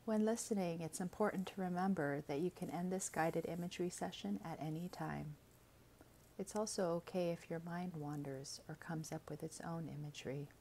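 A woman reading a scripted guided meditation in a gentle, soothing, measured way, with soft, breathy phrase endings. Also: on the low side, slight background noise.

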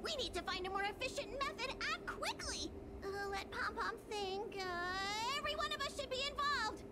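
A woman voicing a small, excitable, fussy game mascot in a squeaky, childlike, exclamatory way. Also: moderate pace, heavy background noise. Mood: flustered, urgent. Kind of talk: video game voice acting.

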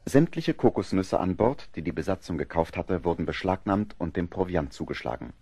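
A man's voice: sits low, animated, a little fast, warm tone, breathy edge, dry recording, faint music behind. A man narrating a scripted historical adventure audio drama.

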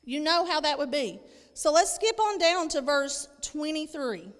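A woman's voice: high-pitched, expressive, measured pace. A woman in her thirties preaching a sermon, reading scripture and explaining it emphatically, repeating phrases for weight. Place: church stage indoors, at a lectern. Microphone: handheld microphone. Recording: echoey hall with long reverb.

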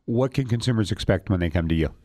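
A man's voice: lowish voice, expressive, quick pace, warm tone, dry recording, clean studio sound. A middle-aged man interviewing guests conversationally as the host of a radio talk show.

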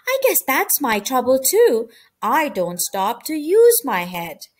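Storybook young male mouse, earnest and hesitant, animated high voice female reader. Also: slow pace, heavily acted. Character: young boy mouse, eager, sheepish, restless to grow up. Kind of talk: children's storybook character reading.

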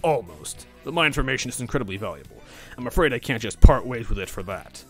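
A man voicing a huge, manipulative monster king in a story, with booming, slangy showmanship and a grumbling streak. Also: sing-song, fast, a bit bright, faint music behind. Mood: smug, haggling. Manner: casual slangy speech with swaggering showmanship.

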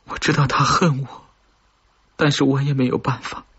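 An obsessive, lovesick woman of a suspense audiobook, acted out by a man, implores in a very breathy, whispery voice. The pitch is raised above a man's usual talk. The sound is slightly dull. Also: level tone, moderate pace, fairly bright tone. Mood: desperate, pleading. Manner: imploring, intense. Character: obsessive, lovesick, pleading woman who has killed.

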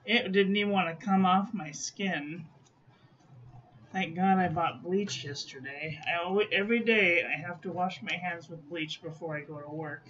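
Phlegmy man, high voice, unhurried chatty talk, dull lo-fi sound, faint room hum. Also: a bit bright. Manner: chatty, self-mocking asides. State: phlegmy, clearing throat. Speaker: ink reviewer. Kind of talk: casual chat while swatching ink. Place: small room at a desk.

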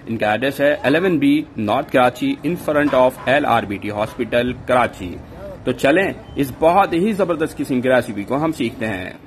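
A man's voice: medium pitch, slight background noise. Man narrating a street-food recipe. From Pakistan. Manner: instructional, step-by-step, mixes English words. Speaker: cooking channel host.

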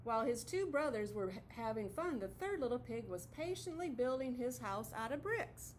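High-voiced woman reading a children's story. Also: big pitch swings, moderate pace, a little hiss or hum, low-quality recording. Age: middle-aged. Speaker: teacher reading aloud to children. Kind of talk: expressive picture-book read-aloud. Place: classroom, indoors.

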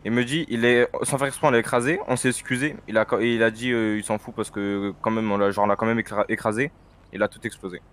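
A man's voice: fairly low pitch, little pitch movement, quick pace, fairly bright tone. A man in casual game voice chat, questioning insistently like a moderator. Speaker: server admin or moderator in a roleplay game. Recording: game voice chat with faint noise.

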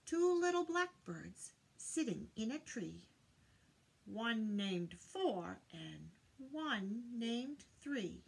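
A woman's voice: fairly high pitch, sing-song, very slow, gravelly, slightly rough. An older woman doing a children's read-aloud, teaching in a sing-song way and putting questions to her young listeners. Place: small indoor room lined with bookshelves.

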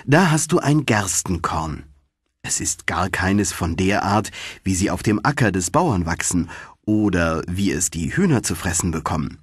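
Man voicing an obliging old witch, husky. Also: low-pitched, big pitch swings, unhurried, no echo, studio quality, heavily acted. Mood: obliging, assured. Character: obliging old witch woman in a fairy tale.

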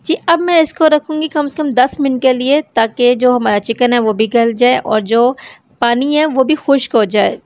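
A woman with a Pakistani Urdu accent, hosting a home cooking channel, calmly explaining each recipe step in a voice-over. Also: sits high, moderate pace, no echo. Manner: calm, repeats each step.

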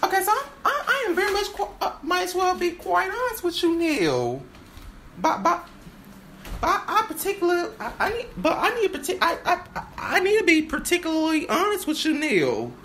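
A woman performing an improvised stage-play monologue, halting and stammering as she repeats her phrases. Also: extremely high pitch, huge pitch swings, fairly bright tone, a little hiss or hum.

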